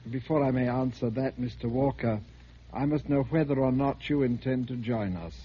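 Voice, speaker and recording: An alien emissary, played by a man, speaks with formal, unhurried condescension. He is calm and superior in the manner of 1950s radio drama. The vintage recording is dull with a light layer of noise. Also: mid-range voice, close to an everyday voice.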